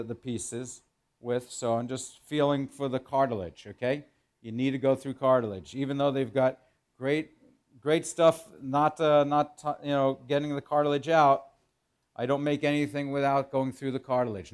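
A man's voice: mid-range voice, darkish tone. Middle-aged man giving a cooking demo. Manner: informal, halting, repeats phrases for emphasis. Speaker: chef giving a cooking demonstration. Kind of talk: instructional cooking demo, explaining while working. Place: indoor professional teaching kitchen.

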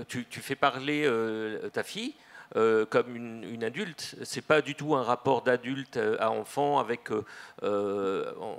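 A man's voice: medium pitch, creaky, fairly bright tone. A middle-aged man co-hosting a literary panel, asking long questions with many hesitations into a handheld mic. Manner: halting questions with many fillers. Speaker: panel co-host. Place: large festival tent with live audience. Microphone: handheld microphone.

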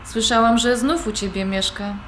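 A woman's voice: a little high, steady tone, normal speed, fairly bright tone, natural voice. A woman playing an adult granddaughter with a dry, conversational manner in a naturalistic audio drama. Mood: casual. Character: Agnieszka, adult granddaughter, dry, easily irritated. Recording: very roomy, faint background noise.

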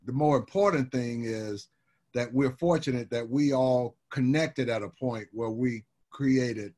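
An older man from Birmingham, Alabama, speaking as a guest on a video call. He tells his stories in an easygoing, rambling way, full of "you know." His voice sits in a middle pitch for a man. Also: a little slow, darkish tone. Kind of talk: casual interview storytelling. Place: home room with wood shutters and framed pictures.

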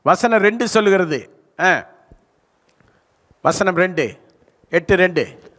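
A man's voice: sits high, animated, slow, a bit bright. An older male pastor preaching a sermon into a handheld microphone, stretching key words and calling for answers from the congregation. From South India. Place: large church stage. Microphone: handheld mic. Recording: live church hall reverb.

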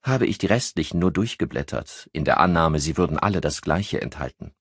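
Man voicing a composed, precise countess, husky. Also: a bass voice, animated, fairly quick, no room sound, clean studio sound, full character voice. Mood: matter-of-fact. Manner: precise, explanatory. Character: composed, educated countess, a medical expert.

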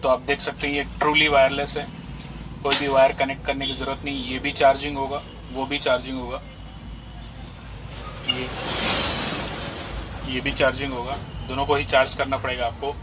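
Distant man over loud noise. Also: medium pitch, monotone, moderate pace, pauses often. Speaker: product reviewer. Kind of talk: casual demonstration and review. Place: small cluttered shop, indoors.

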